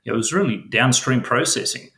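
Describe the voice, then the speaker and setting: A man's voice: mid-range voice, fast, vocal fry, a bit bright, reverberant. A middle-aged Australian man with an Australian accent, answering interview questions as a mining company chief, hesitant and full of fillers and restarts.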